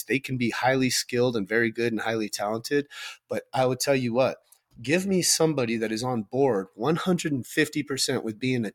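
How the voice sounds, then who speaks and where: A man with a loud voice co-hosting a podcast, talking in an emphatic, blunt way and swearing for effect. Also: mid-range voice. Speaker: podcast co-host. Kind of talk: casual podcast discussion.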